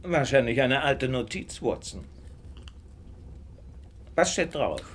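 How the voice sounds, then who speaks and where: A theatrical male detective with a very animated, mid-pitched voice. He is shrewd, self-assured and gently superior, in the manner of radio mystery drama. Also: slight background noise. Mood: intrigued. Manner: theatrical, deliberate, knowing. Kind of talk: German radio drama acting.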